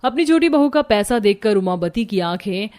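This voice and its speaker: A woman's voice: medium pitch, expressive, normal speed, a little mellow. A woman reading scripted narration as the storyteller of a family tale.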